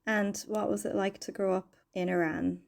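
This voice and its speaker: A woman's voice: mid-range voice, little pitch movement, normal speed, gravelly. A woman hosting a podcast, introducing the show and asking questions in a friendly, plainspoken way. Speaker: podcast host. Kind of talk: podcast interview.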